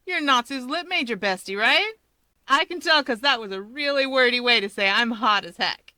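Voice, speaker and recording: A woman voicing a brash, teasing young rabbit-woman hero, loud, cocky and chatty in an audiobook-style story. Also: fairly high pitch, animated, moderate pace, dry recording, voice pushed up. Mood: playful.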